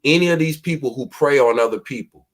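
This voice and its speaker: A man with a deep voice hosting a casual podcast discussion, talking emphatically and projecting his voice. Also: quick pace. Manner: emphatic, projects his voice loudly, preachy street talk. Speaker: podcast host.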